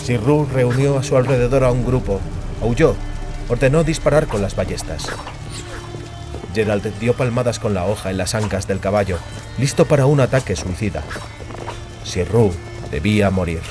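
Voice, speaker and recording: Low-voiced male audiobook narrator. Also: animated, normal speed, pauses often, over music. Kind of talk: dramatic scripted narration.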